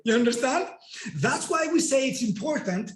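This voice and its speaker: A Greek-accented man with a very high voice explains his ideas emphatically in an interview. He keeps asking rhetorical questions and answering them himself. The recording has a noticeable echo from the room. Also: expressive, fairly quick, slight background noise. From Greece. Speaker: guest coach and NLP trainer. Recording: echoey room.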